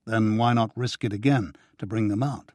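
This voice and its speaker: A man's voice: on the low side, steady tone, normal speed, darkish tone, no echo, close to an everyday voice. A man reading the lines of a dry, reluctant male journalist in an audiobook. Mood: wry.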